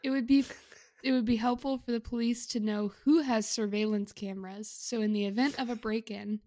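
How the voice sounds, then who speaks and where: A woman co-hosting a podcast in casual chat, telling stories in a halting, amused way. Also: fairly high pitch, steady tone, mellow, no echo. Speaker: podcast co-host.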